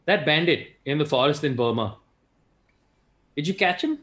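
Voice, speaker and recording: A man's voice: a little high, expressive, moderate pace, a bit bright. A man playing a brooding, wounded hero in a table read of a film script. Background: guitar music.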